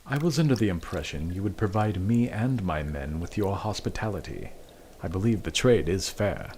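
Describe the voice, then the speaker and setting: A man playing an arrogant, commanding duke who speaks in a deliberate, imperious way in an audio drama. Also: low voice, lively intonation, a little mellow, slight background noise. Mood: cool, bargaining. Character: arrogant, womanizing nobleman duke, curt and commanding.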